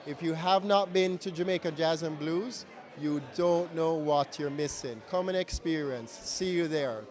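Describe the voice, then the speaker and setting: A man's voice: a little high, measured pace, a little mellow. A man giving a short upbeat promotional plug. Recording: crowd noise behind.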